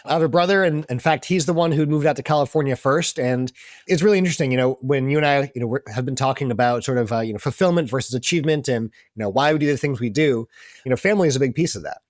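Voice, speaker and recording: A man's voice: medium pitch, no echo, studio quality. A middle-aged American man from the Boston area, telling stories conversationally as a podcast guest in an informal, chatty way. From Boston area, US East Coast. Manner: chatty, informal, talks fast. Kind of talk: conversational interview storytelling.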